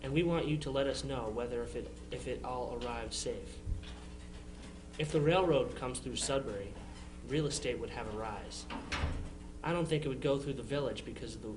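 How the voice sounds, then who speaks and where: A man playing a chatty nineteenth-century villager, reading a letter aloud in a halting way with repeated phrases. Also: medium pitch, background noise, close to an everyday voice. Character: male 1860s villager writing chatty news to his brother. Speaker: amateur reader in a historical society dramatization.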